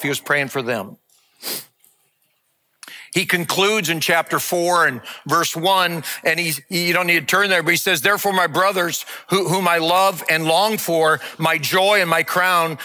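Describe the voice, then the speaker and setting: A man in his sixties, a lead pastor, giving a sermon and announcement in an earnest, measured, heartfelt way. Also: a little high, fairly even intonation, pushed and bright. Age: 60s.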